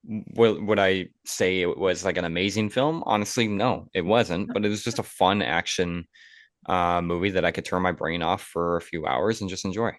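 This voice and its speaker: A man's voice: sits low, steady tone, moderate pace, no echo, clean studio sound. A man hosting a podcast, chatting casually about things in a conversational way. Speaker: podcast host. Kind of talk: casual movie chat.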